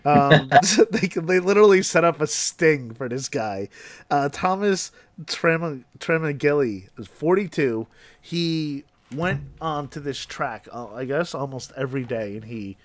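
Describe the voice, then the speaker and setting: A man's voice: fairly high pitch, lively intonation, measured pace, no room sound. A man hosting a casual chat show, rambling with lots of fillers and restarts and laughing often. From New Jersey, USA. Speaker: podcast host.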